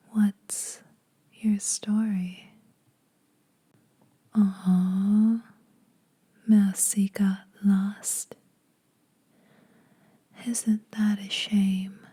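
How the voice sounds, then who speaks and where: A woman playing a menacing, teasing vampire huntress in a soft, breathy ASMR whisper, slow, deliberate and condescending. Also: medium pitch, heavily acted. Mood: cool, threatening, then mocking, amused.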